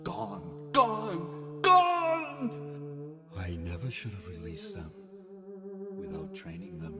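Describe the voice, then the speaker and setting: A man's voice: fairly high pitch, huge pitch swings, extremely slow, gravelly, voice pushed up, full character voice. A man voicing a sinister, grandiose old mad scientist in a theatrical horror radio play. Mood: anguished rage. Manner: theatrical, ranting or silkily menacing. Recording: long echoing reverb, music behind.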